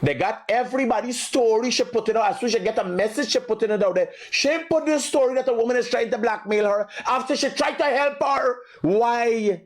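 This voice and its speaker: Male live morning host, Guyanese accent, high voice, emphatic and opinionated delivery. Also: fairly quick, hardly any pauses. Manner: emphatic, repetitive, accusatory.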